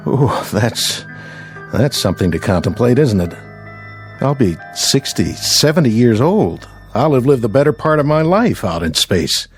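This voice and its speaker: An American actor playing a stoic, dutiful astronaut commander in a radio drama, measured, understated and wry. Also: male voice, medium pitch, very animated. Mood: reflective, resolute. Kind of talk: radio drama acting and first-person narration. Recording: music behind the voice.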